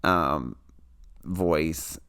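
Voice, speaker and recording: A man's voice: rumbling low, extremely slow, pushed and bright, clean tone. A man with a nasal voice co-hosting a casual comedy podcast, campy and teasing in manner. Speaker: podcast co-host, drag performer.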